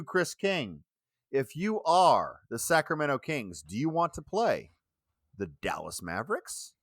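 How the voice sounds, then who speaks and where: A man producing and hosting segments on a sports podcast, throwing energetic questions at the co-hosts. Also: a little high, dry recording, studio quality. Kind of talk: casual sports chat.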